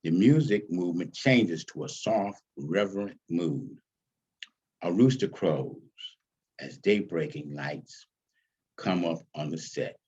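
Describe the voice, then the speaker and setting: A man reading his own stage script aloud as its author, with deliberate, dramatic phrasing. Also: deep voice, animated, a little mellow, slightly rough, highs cut off.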